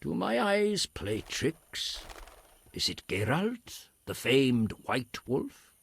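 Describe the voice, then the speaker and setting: A man playing a serene, courteous druid in a video game, gentle, formal and unhurried. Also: medium pitch, wildly swooping pitch, vocal fry, breathy edge, heavily acted. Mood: welcoming. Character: serene, courteous male druid elder, lover of nature. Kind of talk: video game dialogue acting.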